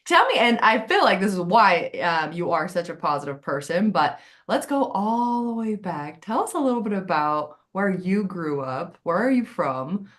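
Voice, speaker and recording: A woman's voice: lowish voice, sing-song, normal speed, few pauses, a bit bright. A woman hosting a live interview, encouraging her guest and often checking in with "right?". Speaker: host. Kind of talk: casual interview chat.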